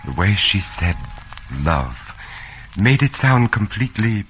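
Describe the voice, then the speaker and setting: An actor playing an anxious, earnest secret rebel in a radio drama, intense and halting, with a husky voice. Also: male voice, fairly low pitch, very animated, fairly bright tone, slight background noise, full character voice. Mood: earnest, hopeful.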